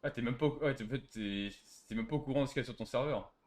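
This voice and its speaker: A man's voice: medium pitch, very fast, a little mellow. A man arguing casually in online game voice chat, with a sarcastic, needling manner. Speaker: player trolling a roleplay game server.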